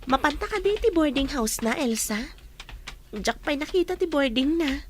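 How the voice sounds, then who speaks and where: A woman's voice: high voice, animated, normal speed, slight background noise. A woman acting an earnest, secretive young student in a radio drama. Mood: uneasy. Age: young adult.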